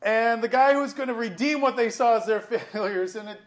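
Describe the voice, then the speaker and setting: A man acting a wry, aggrieved, out-of-work middle-aged lawyer in a staged comedy-drama, halting and self-deprecating. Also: very high-pitched. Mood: eager, coaxing. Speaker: actor in a staged reading. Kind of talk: theatrical dialogue reading. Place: museum auditorium stage.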